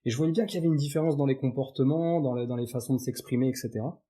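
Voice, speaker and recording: Homme posé au timbre sombre. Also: male voice, medium pitch, racing, mellow. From Paris suburbs, France. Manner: chatty, many fillers and restarts. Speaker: podcast guest. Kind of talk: casual interview chat.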